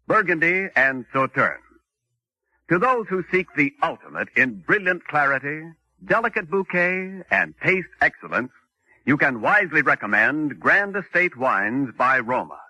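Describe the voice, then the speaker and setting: A man's voice: on the high side, bright, forceful tone, slightly rough, no echo, low-quality recording. A man announcing in a scripted 1940s radio sponsor style, with grand, emphatic, formal salesmanship.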